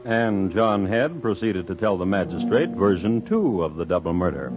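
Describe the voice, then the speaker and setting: An actor playing a wry, deadpan storyteller, narrating a 1950s radio crime drama. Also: male voice, low voice, moderate pace. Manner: deadpan, droll. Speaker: narrator and host. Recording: muffled vintage 1950s radio recording. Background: music.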